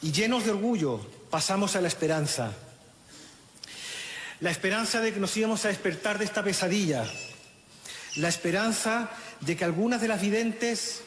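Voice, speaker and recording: A middle-aged man with a Chilean accent reads a funeral eulogy at a lectern microphone outdoors, in a solemn, measured way with halting restarts, audibly emotional. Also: high voice, a bit bright, a little hiss or hum. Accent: Chilean Spanish. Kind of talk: funeral eulogy, read aloud. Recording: echoey, reverberant outdoor sound with faint ambience.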